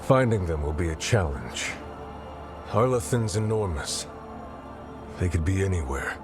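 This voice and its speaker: A grim fantasy warrior in a video game. He is a deep-voiced man, heard over prominent music. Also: lively intonation, unhurried.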